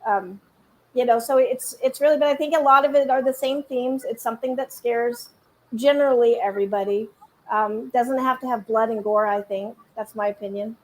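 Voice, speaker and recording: A woman horror author on a panel, talking in a relaxed, offhand, joking way. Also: a little high, normal speed, poor audio. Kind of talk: casual panel discussion.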